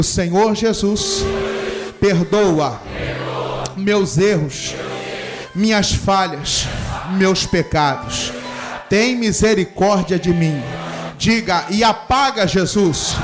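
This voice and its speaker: Fervent high-voiced pastor praying. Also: male voice. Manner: fervent commanding prayer, rising to shouts. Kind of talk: healing prayer sermon. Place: church service.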